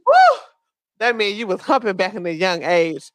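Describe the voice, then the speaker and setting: A woman's voice: medium pitch, wildly swooping pitch, normal speed. A woman in her thirties co-hosting an interview in casual slang, blunt, sassy and freely swearing. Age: 30s. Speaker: interview co-host.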